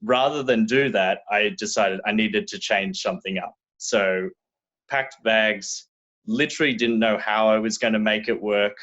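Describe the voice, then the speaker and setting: A young Australian man with an Australian accent, telling his story in a relaxed, casual interview, often restarting his sentences. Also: fairly low pitch, measured pace, muffled recording. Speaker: guest.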